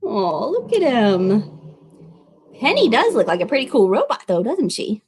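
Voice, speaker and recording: Animated, high-pitched woman reading for children. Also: a little slow, a little hiss or hum. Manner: animated, expressive, with exclamations and questions to young listeners. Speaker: children's librarian reading aloud. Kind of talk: storytime picture-book reading and friendly address to children.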